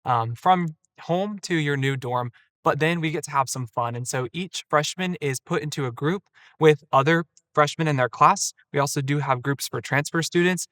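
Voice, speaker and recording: A man's voice: a little high, normal speed, bright, forceful tone, dry recording. Young man explaining in an orderly way. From Atlanta, Georgia. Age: young adult. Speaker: student event coordinator. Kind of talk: interview answers.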